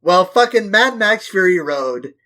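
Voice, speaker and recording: High-voiced man, emphatic and sweary podcaster. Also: lively intonation, measured pace, sharp tone. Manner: emphatic, sweary, given to hyperbole. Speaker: podcast co-host. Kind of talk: casual film discussion.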